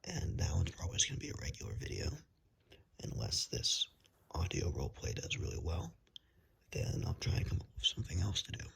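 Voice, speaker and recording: Low, very raspy whispering man. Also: wildly swooping pitch, brisk, fairly bright tone. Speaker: ASMR channel creator. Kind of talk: ASMR, casual whispered chat to viewers. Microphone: phone, no external microphone. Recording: iPhone built-in microphone.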